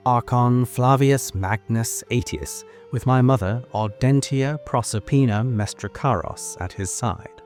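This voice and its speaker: A man playing a wistful young noble heir, telling his story in measured, formal first-person narration for an audio drama. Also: lowish voice, a little mellow, lightly acted. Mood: proud, measured. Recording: music under the voice. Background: music.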